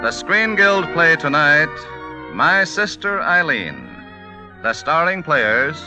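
A man's voice: fairly high pitch, very animated, slow. A man announcing a 1940s radio show in a formal, showy presenting style. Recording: vintage radio broadcast with music. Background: music.

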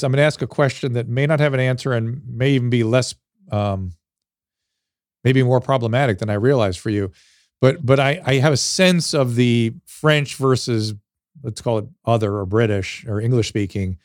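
A man's voice: mid-range voice, a little mellow, no room sound, studio quality. A man from the United States with an American accent, hosting a casual interview in a tentative, reflective way, circling around his point. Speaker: interview host.

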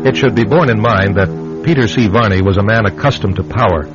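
Low-voiced, grave male radio drama narrator. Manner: grave, measured, deliberate. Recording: muffled vintage radio, music behind.

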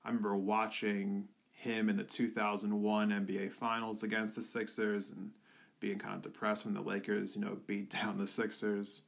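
A young man from the Philadelphia area talking earnestly in a personal tribute, halting with many fillers. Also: fairly low pitch, completely flat delivery, creaky. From Philadelphia area, USA. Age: young adult.

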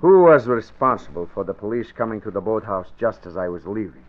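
A man's voice: lowish voice, lively intonation, fairly quick, close to an everyday voice. An actor playing a blunt, uneasy foreign hired henchman in a vintage radio drama. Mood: uneasy, insistent. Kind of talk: 1940s-50s radio drama acting. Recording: muffled, narrow vintage radio recording.

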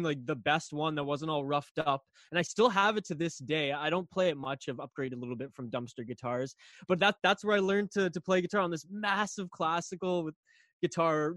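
A young man from Canada, a guest on a podcast, telling a long story casually with lots of fillers and restarts, his voice a little raspy. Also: a little high, fairly bright tone, no echo. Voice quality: slightly raspy.

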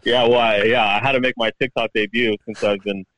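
Low-ish golfer guy chatting easy, tongan first language, lots of restarts, dry close sound. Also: brisk, dry recording, rough recording. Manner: relaxed, repeats words and restarts often. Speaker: pro golfer guest. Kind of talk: casual interview chat.

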